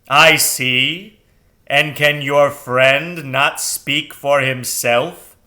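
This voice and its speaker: A man voicing a grave, imperious owl in a storybook, with a deep, round, sonorous voice and formal, deliberate speech. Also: fairly even intonation, pushed and bright, full character voice. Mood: questioning, imperious.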